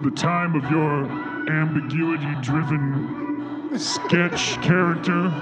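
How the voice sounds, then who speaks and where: Stern boastful rapper father, man improvising, high pitch over a loud beat. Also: fairly even intonation, slow. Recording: live stage with loud music beat.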